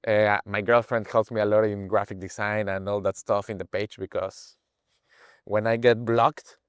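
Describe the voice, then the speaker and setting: Young Mexican man, smooth and low. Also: little pitch movement, no echo, studio quality. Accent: Mexican Spanish. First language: Spanish. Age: 20s. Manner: halting, searches for words in English. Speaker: guest, page owner. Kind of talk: casual interview chat.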